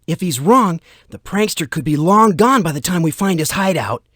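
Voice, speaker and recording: A woman's voice: on the low side, animated, normal speed, fairly bright tone, no echo, studio quality. A woman voicing a serious young adventurer in a cartoon computer game, earnest and dramatic. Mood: urgent.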